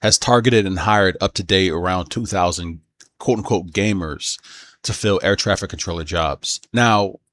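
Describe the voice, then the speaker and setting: A man with a low voice talks through his opinions in a casual commentary style. His delivery is halting and unscripted, and he often backs up to correct his own wording. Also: a bit bright.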